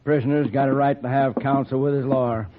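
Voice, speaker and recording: A man's voice: mid-range voice, fairly even intonation, fairly quick, narrow, tinny audio, natural voice. Wary, folksy American small-town sheriff, played by a man, radio western, phone-thin noisy recording. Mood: wary, gruff. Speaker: radio drama actor. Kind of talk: old time radio western acting.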